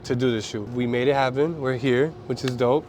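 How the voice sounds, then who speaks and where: A man with a mid-range voice hosts a relaxed rooftop chat, sounding laid-back and upbeat and using plenty of slang. He is recorded outdoors. Clear city traffic noise runs underneath his voice. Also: mid-range voice, a little fast. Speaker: photographer and host. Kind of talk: casual interview chat.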